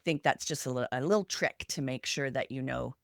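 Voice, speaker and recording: A woman's voice: extremely low pitch, a little fast, vocal fry, no room sound, studio quality. A woman co-hosting a podcast in a conversational, advice-giving style. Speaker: podcast co-host.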